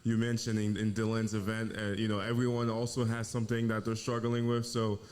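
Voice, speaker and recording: A man from Haiti, a financial planner, talking in an earnest, measured way as a guest. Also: fairly low pitch, completely flat delivery, darkish tone. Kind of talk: casual interview.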